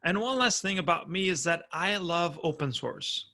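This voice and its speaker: Bright-voiced Argentine man giving a talk. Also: a little high, lively intonation, moderate pace, gravelly. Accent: Argentine Spanish. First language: Spanish. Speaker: conference speaker. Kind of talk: prerecorded conference talk.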